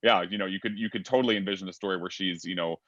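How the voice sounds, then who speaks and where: A man on a video-call fan panel with a very low-pitched voice. His sound is muffled and dry, like a cheap webcam mic. He is agreeable and keeps chiming in with quick "yep"s. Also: fairly bright tone, dry recording. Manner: agreeable, chimes in with quick yeps. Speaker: convention panelist. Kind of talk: casual fan panel discussion.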